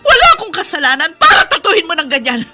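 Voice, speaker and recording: A mother working abroad, high voice, bright tone, radio play, emotional. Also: huge pitch swings, quick pace, exaggerated voice. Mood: anguished. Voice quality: emotional, pleading. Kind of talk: radio drama acting.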